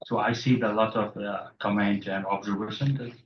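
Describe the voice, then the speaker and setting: A man from Nepal asking a halting question from the floor of a panel, with many fillers and restarts. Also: on the low side, fairly even intonation, slight background noise. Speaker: audience member asking a question. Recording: roomy hall sound from far off, dull.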